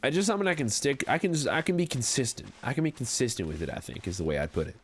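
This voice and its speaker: A man's voice: mid-range voice, animated, rapid-fire, a little hiss or hum, natural voice. An Australian man voicing a friendly, polite cowboy with a put-on American Southern accent, chatting casually and folksily as he streams a game. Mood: earnest, chatty. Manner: folksy, courteous, rambling. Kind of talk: casual livestream chat over gameplay.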